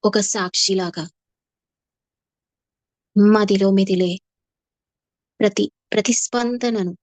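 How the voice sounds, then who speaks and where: Slow-paced woman guiding a meditation. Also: medium pitch, steady tone, darkish tone, no room sound. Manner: soothing, unhurried, with long pauses and repeated phrases. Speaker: meditation teacher. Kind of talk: guided meditation, slow instructive narration.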